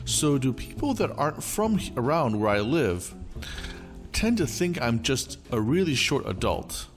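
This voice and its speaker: Lively male story narrator. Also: mid-range voice, unhurried. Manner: lively, expressive reading. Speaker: storyteller channel host. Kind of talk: scripted narration of online stories. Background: faint background music.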